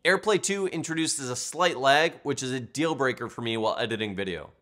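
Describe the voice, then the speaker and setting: A man's voice: mid-range voice, fairly quick, pushed and bright. A man reading a scripted product review as the reviewer and narrator.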